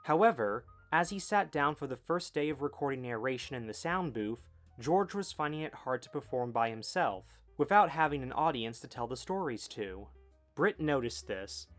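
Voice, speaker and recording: A man's voice: mid-range voice, expressive, normal speed, fairly bright tone. A man reading scripted documentary narration as a video essay narrator. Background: background music.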